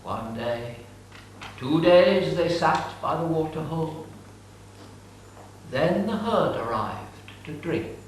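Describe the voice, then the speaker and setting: A man declaims an ancient story in a slightly high, unhurried voice. He sounds distant, as if recorded from across a very echoey room, with faint hiss underneath. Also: lively intonation. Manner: declamatory, solemn. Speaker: narrator. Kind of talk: dramatic reading of an epic.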